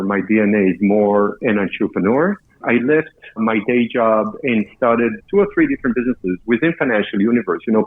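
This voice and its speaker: A man's voice: lowish voice, dry recording. A man in his fifties from Israel with an Israeli accent, talking upbeat and loosely as a guest, often dropping the subject of his sentences. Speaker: guest entrepreneur. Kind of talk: casual interview talk. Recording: tinny phone-line audio, dry.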